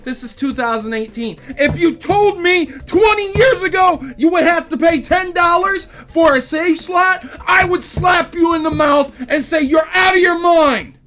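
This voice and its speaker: A man's voice: very high voice, measured pace, few pauses, pushed and bright, soft background music. A young man hosting video game news, delivering opinionated commentary in an emphatic, sarcastic, ranting manner.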